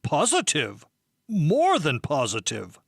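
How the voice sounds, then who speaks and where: A man acting out characters for a whimsical audiobook in a theatrical, deliberate way. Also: a little high, wildly swooping pitch, vocal fry, slightly rough, no room sound, studio quality. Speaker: audiobook voice actor.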